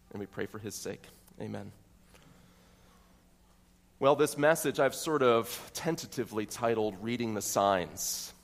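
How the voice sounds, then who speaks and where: Earnest American preacher in a reverberant hall. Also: male voice, lowish voice. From central Pennsylvania, USA. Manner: earnest, methodical teaching with rhetorical questions. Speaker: pastor. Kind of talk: expository sermon.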